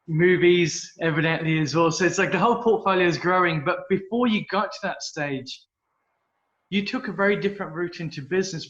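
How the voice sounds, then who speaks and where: A man's voice: on the high side, fairly bright tone. A man hosting an interview, asking long, thoughtful questions. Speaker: interview host. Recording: dull lo-fi sound.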